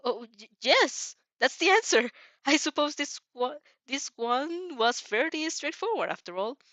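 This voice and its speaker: A woman's voice: high voice, sing-song, measured pace, bright, forceful tone, no echo, pitched above the performer's own voice, full character voice. A woman voicing a mild, encouraging male schoolteacher in a fan fiction reading, speaking politely and a little hesitantly. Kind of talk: fan fiction read aloud.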